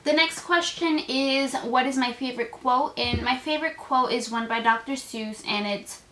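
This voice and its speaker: A woman's voice: sits high, moderate pace, a bit bright, slight background noise, poor audio. An eighteen-year-old woman chatting to camera in a casual vlog, gushing and full of "like" fillers. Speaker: vlogger. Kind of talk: casual tag video chat to camera.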